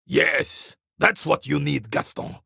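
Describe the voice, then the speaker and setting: An actor playing a bitter, proud old war veteran in a video game, speaking with a gravelly rasp and curt, scornful disdain. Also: male voice, a little high, slow, sharp tone, dry recording, studio quality, full character voice. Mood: contemptuous. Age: older. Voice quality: gravelly, raspy. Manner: curt, scornful, dismissive, old-soldier pomp. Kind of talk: video game character dialogue.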